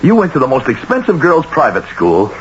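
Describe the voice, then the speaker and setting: Radio drama casting: exasperated husband, insistent and pleading, animated delivery, lo-fi vintage broadcast. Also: male voice, medium pitch, brisk, slight background noise. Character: exasperated, money-worried husband scolding his wife. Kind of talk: 1970s radio drama acting.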